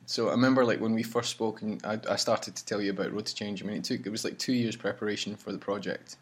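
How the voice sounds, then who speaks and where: A man with a slightly husky, low voice talks casually and at length. He rambles, restarts his sentences, and keeps things relaxed and friendly. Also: fast. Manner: rambling, chatty, many restarts. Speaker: campaign leader and vlog host. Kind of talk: casual chat. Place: traveling campaign van.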